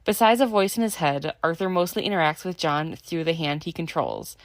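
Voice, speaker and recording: A woman's voice: sits low, animated, a little fast, no room sound. A woman reading out earnest, heartfelt fan commentary as a voice message. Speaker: listener sending a voice message.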